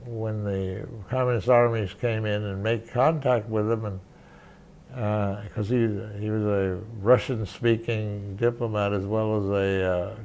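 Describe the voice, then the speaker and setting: An older man, a veteran journalist, recounting memories in an interview, halting and restarting often. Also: on the low side, darkish tone, poor audio. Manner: halting, many restarts and fillers. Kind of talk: reminiscing interview.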